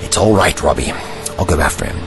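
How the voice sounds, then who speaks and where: A man's voice: low-pitched, lively intonation, brisk, over music. A man playing an older, commanding and protective time traveler in an audio drama, speaking formally and precisely in an avuncular way. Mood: calm, firm. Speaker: actor in a sci-fi audio drama.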